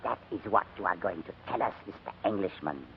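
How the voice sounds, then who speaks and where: An actor playing a menacing, coldly polite Gestapo interrogator with sardonic, deliberate delivery in a 1940s radio drama. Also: male voice, low voice, expressive, slight background noise. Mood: cold menace. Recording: muffled vintage 1940s radio recording.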